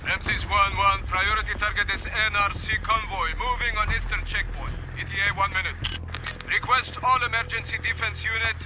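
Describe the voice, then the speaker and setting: A man's voice: sits high, flat delivery, fairly quick, pauses often, lightly acted. Man acting a clipped radio operator amid noise. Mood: urgent, businesslike. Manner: clipped, procedural. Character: brisk, businesslike male military radio operator. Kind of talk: video game cutscene acting.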